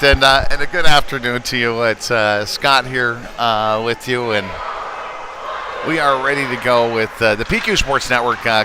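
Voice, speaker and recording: A man calling live hockey play-by-play in a halting, conversational way, with frequent hesitations. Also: mid-range voice. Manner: halting, filler-heavy, conversational delivery. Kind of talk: live amateur sports play-by-play commentary. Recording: clear background noise, live venue ambience.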